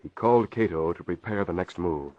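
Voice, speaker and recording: An actor as the formal narrator of a 1940s-style radio mystery serial, telling the story with urgency. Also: male voice, deep voice, brisk, close to an everyday voice. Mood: dramatic narration. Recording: dull vintage radio recording, faint noise.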